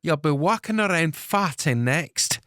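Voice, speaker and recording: A man putting on a high, teasing young woman. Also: animated, bright, forceful tone, dry recording, studio quality. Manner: teasing, drawn-out smirking lines.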